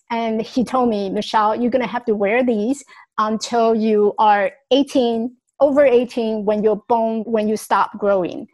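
Woman, raised in Taiwan, earnest reflective storytelling, higher voice, small grammar slips. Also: rough recording. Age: adult. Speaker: speaker sharing her life story. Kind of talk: personal storytelling talk.

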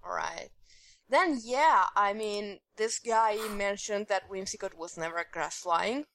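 A woman's voice: mid-range voice, unhurried, vocal fry, fairly bright tone, a little hiss or hum. Playful European woman podcaster. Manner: reads listener emails aloud in a playful, joking tone. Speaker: podcast co-host. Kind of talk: casual podcast chat and reading listener mail.

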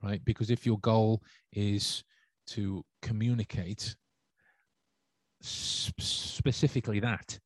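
Low-voiced rambling man, dry close mic. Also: level tone, slow pace, long pauses, dry recording. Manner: rambling, many restarts and fillers. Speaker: podcast host. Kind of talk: solo livestream talk answering chat.

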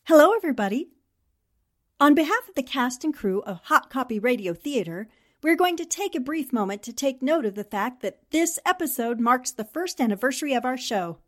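High-voiced woman making radio announcement. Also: very animated, brisk. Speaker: radio show cast member. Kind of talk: scripted thank-you announcement.

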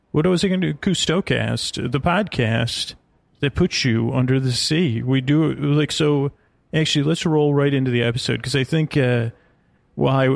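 A man's voice: mid-range voice, vocal fry, no room sound. A man hosting a sleep podcast, rambling through a bedtime monologue in a hesitant, meandering way, often interrupting himself and trailing off into tangents.

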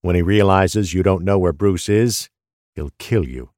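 A man's voice: sits low, a little slow, a little mellow, no echo, studio quality. A man playing a grave, commanding leader in a crime audio drama, giving firm, urgent instructions. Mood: grave warning. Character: grave, commanding man leading a fleeing group.

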